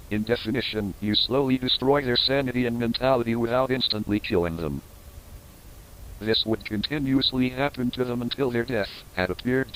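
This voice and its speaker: A man's voice: mid-range voice, rough recording. A man narrating a scripted instructional horror tape in a formal, explanatory manner.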